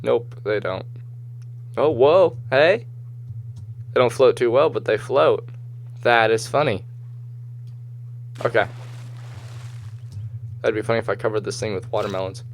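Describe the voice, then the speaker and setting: A man with a slightly hoarse, just-woken voice gives casual gameplay commentary, rambling and thinking aloud as he goes. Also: medium pitch, measured pace, pauses often, a little hiss or hum. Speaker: gamer, host of a gameplay video series. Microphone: voice-over recorded over game footage.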